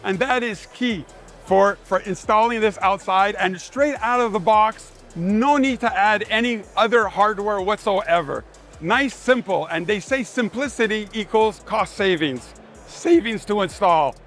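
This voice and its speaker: A man's voice: high-pitched, unhurried, a bit bright, faint music behind. A man giving a live sales demonstration of a product, speaking in emphatic short phrases like a salesman. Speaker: sales manager presenting a product. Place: trade show demonstration.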